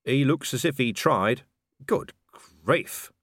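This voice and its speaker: A man's voice: mid-range voice, animated, slow, vocal fry, fairly bright tone, slightly rough, no echo, studio quality, voice pushed up, heavily acted. A man voicing a sardonic male commander, a reluctant nobleman, curt and exasperated, in a storybook reading.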